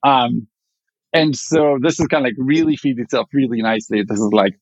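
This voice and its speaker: A man's voice: medium pitch, a little fast, no echo, dull audio. A man with an Israeli accent, a startup founder answering interview questions in a rambling way, full of fillers and restarts.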